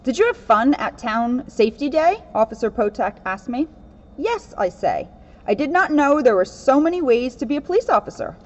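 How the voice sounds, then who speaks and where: A woman's voice: big pitch swings, moderate pace. A woman police officer reading aloud and explaining things informally for children. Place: in and around a police car. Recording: muffled, low-grade audio with faint noise.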